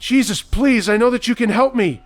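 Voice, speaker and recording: A man's voice: extremely high pitch, normal speed, crowd noise, voice pushed up. A man voicing a panicked, bleeding man who screams out prayers in a frantic, wailing voice. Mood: frantic screaming.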